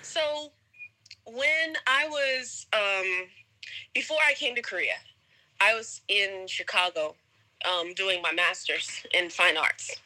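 A woman's voice: medium pitch, takes it slowly, pushed and bright, poor audio. A young American woman chatting casually as a guest in an interview. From United States, suburbs of Atlanta, Georgia. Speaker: podcast guest, English teacher.

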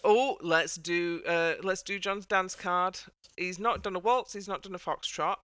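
Female podcast host, smooth and forward, dryly recorded, wry and irreverent in casual panel chat. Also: lowish voice, steady tone, unhurried, fairly bright tone, no room sound, clean studio sound.

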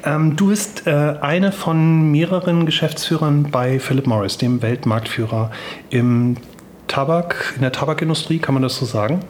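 A man's voice: a little high, moderate pace, a little hiss or hum. A man hosting a podcast, talking casually with lots of filler sounds while he has a cold. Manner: chatty, many filler sounds. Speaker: podcast host. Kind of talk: casual podcast intro and interview.

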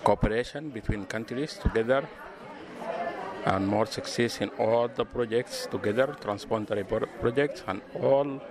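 A man from Sudan giving a halting, pause-filled birthday wish to a river. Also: medium pitch. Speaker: well-wisher. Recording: outdoors with crowd behind.

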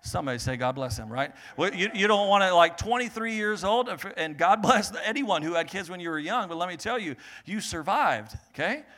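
A man preaching a sermon in a lively, joking way, swelling loud, then dropping to quiet teaching. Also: high-pitched, fairly quick, hardly any pauses. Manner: animated, jokey preaching with sudden loud swells and quiet stretches. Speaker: pastor.

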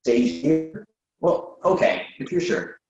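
A man plays an exasperated, reasonable guy humoring a friend late at night in a comic play reading. His pitch swings widely as he stammers in disbelief. The sound is roomy and a little dull. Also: sing-song, slow pace, darkish tone. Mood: resigned. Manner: hesitant, stammering, incredulous.

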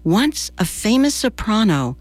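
An American woman in her sixties reads a scripted personal essay for radio in a measured, deliberate storytelling manner. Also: medium pitch, big pitch swings, a little mellow, no echo, faint music behind. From New Jersey, United States. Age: 60s. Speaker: radio commentator and presenter.

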